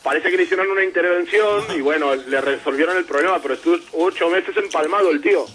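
A man's voice: on the high side, quick pace, a bit bright. A man calling in to a radio show, chatting casually in an irreverent, joking, sweary way.

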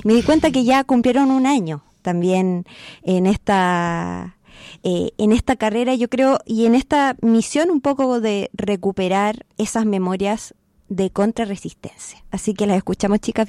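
A woman hosts a podcast and welcomes her guests. She speaks in a friendly, soft way with a mellow voice. The recording sounds dry and close. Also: medium pitch, normal speed, dry recording. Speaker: podcast host. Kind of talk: podcast interview.

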